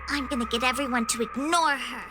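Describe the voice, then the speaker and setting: A woman's voice: sits high, a little fast, natural voice. A woman voicing a spiteful, jealous child bully in a video game, whiny and taunting. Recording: clear background ambience noise.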